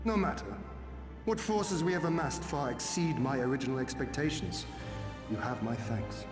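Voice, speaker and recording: A man's voice: medium pitch, normal speed, over music, close to an everyday voice. Man playing a noble young rebel lord, echoey. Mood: gracious. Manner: courteous, rousing, formal. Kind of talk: video game cutscene acting.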